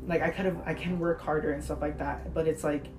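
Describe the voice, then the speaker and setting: A woman's voice: deep voice, fairly even intonation, fairly quick, music in the background. A teenage girl, a native Spanish speaker, chatting earnestly and informally as a podcast co-host, sometimes searching for her words. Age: teens, high school student. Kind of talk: casual chat.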